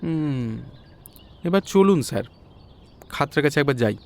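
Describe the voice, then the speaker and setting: A man's voice: fairly high pitch, lively intonation, unhurried. A man playing an inquisitive, polite and eccentric Bengali detective in an audio story, deferential in his questions. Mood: curious, pondering. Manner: deferential, tacking "sir" onto questions.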